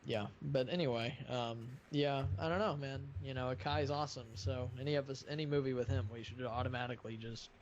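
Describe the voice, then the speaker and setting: A man's voice: mid-range voice, fairly even intonation, fairly quick, hardly any pauses. A man chats casually as a live stream host, rambling and feeling his way through his thoughts. The sound is somewhat muffled, with light noise behind him. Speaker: live stream host of a fan dubbing project. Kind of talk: casual chat. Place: online voice-chat live stream.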